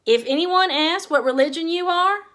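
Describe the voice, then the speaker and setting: A woman's voice: extremely high pitch, moderate pace, a bit bright, pitched above the performer's own voice. A woman voicing her devout, commanding Southern debutante mother with a thick Texas accent. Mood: wry. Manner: commanding, matter-of-fact.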